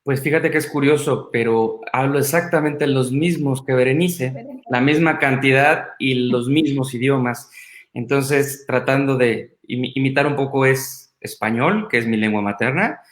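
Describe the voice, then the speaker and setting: A Mexican man with a Mexican Spanish accent, talking as a panelist in a casual online chat, measured and repeating words as he searches for them. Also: medium pitch, roomy sound. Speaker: doctor and panelist.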